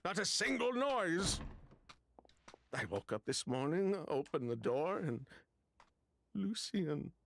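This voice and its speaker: Anxious, defensive man, slow and halting. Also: fairly high pitch, sing-song, vocal fry, a bit bright, slight background noise, exaggerated voice. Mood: uneasy, halting. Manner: halting, stammering. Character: anxious, defensive man hiding a family secret. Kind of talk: video game voice acting.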